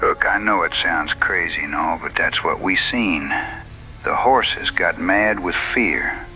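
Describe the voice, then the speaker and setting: A male actor doing a rustic western frontiersman for radio-style drama. The voice is deep but forceful and sharp, with gruff, plain backwoods delivery. Light music plays behind it. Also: measured pace, heavily acted. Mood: shaken. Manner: rustic, plain-spoken phrasing. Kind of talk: dramatized audio western dialogue.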